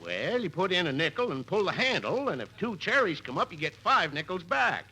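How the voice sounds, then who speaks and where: Polite hotel clerk, very bright male voice, lively swooping pitch, old radio comedy. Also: a little fast, husky, heavily acted. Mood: polite, dry. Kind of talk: 1950s radio comedy acting. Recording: noisy old radio recording.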